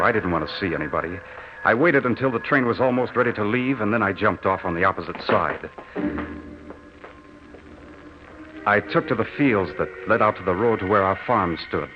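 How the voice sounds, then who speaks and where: Male actor for young returning soldier, radio drama: deepish, dramatic, eager and sincere; dull vintage sound with heavy hiss. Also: expressive, close to an everyday voice. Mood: excited anticipation. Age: young adult. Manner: theatrical, drawn-out, dramatic delivery. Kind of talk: old-time radio drama acting, narrated first person. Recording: noisy, band-limited old radio recording.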